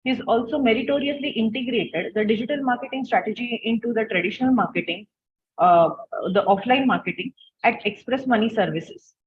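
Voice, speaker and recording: Husky woman introducing a speaker. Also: a little high, fairly quick. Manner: formal, reads out a prepared introduction. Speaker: conference co-convener. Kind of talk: reading a formal speaker introduction. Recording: tinny phone-like call audio.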